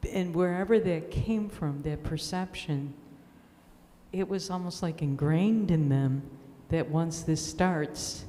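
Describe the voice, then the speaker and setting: An older woman sharing reflections in a group discussion, speaking in halting, thoughtful phrases. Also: sits low, mellow, a little hiss or hum. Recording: echoey hall with long reverb.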